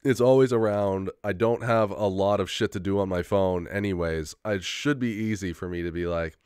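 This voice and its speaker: A man's voice: sits low, steady tone, moderate pace, a little mellow, smooth, dry recording, clean studio sound. A young man in a casual rambling monologue, full of fillers, restarts and swearing, getting over a head cold with an occasional cough. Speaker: vlogger.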